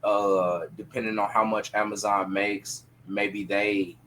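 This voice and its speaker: A man chatting casually on a podcast panel in an animated, slangy, profane way. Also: fairly low pitch, slow pace, slight background noise, rough recording.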